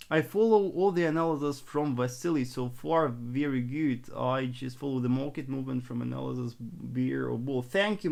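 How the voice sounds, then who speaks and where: A young adult man from northern Russia with a Russian accent, answering live chat questions on a trading stream in a halting, earnest way with many restarts. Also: medium pitch, gravelly. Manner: halting and repetitive, conservative and earnest.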